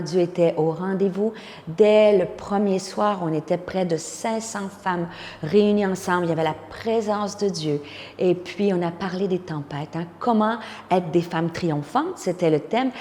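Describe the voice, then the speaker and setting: A woman in her fifties speaks with a Québécois accent in a slightly low register. Long reverb surrounds her voice as she gives a personal, encouraging testimony in the manner of a conference speaker. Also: darkish tone. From Quebec, Canada. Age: 50s.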